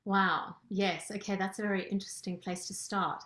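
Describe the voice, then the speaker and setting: A woman's voice: medium pitch, normal speed. Woman from Zimbabwe telling her story. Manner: animated storytelling, acts out quoted thoughts. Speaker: guest, transformation coach. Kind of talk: personal story in an interview. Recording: roomy echo.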